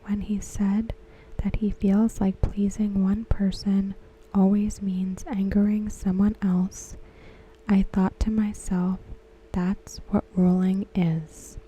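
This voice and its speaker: A woman whispering softly and unhurriedly in an ASMR style, talking about a TV episode. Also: medium pitch, slight background noise, low-quality recording. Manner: soft unvoiced whisper throughout. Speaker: ASMR creator discussing a TV episode.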